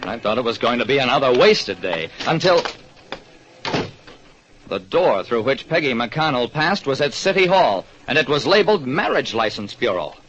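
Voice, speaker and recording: A man's voice: medium pitch, very animated, normal speed, a bit bright. An actor playing a hard-luck, wry, world-weary private detective who narrates his case in an old radio drama. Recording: dull, noisy old radio recording.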